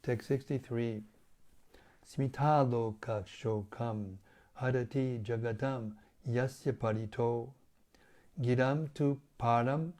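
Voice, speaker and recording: A man with a hoarse, failing voice reading scripture and reflecting on it as a spiritual teacher, in measured devotional tones. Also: medium pitch, darkish tone. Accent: British English. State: losing his voice, hoarse.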